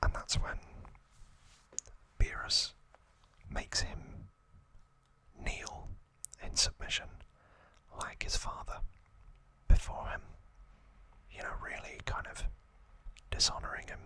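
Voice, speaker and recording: Slow whispering man, ASMR style. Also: low-quality recording. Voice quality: breathy unvoiced whisper. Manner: hushed, unhurried, short phrases with pauses. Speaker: ASMR host. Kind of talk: whispered ASMR trivia recap of an anime story.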